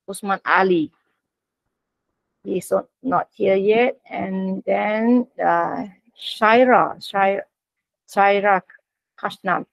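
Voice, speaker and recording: A woman with a Malaysian accent moderating an online session in informal talk, polite and halting, over dull lo-fi call audio. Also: medium pitch, gravelly, dry recording. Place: online video meeting.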